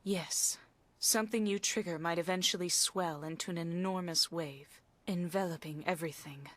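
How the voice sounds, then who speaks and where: An actress playing a stern, haughty fortune teller in a video game, speaking in lofty, scolding, formal phrases. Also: female voice, on the low side, moderate pace, no room sound, close to an everyday voice. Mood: grave, urging. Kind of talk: dramatic video game cutscene acting.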